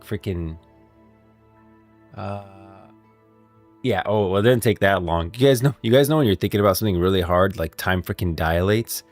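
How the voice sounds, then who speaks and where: A man hosting a casual livestream about game lore, talking informally and directly to his viewers. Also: sits low. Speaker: livestream host.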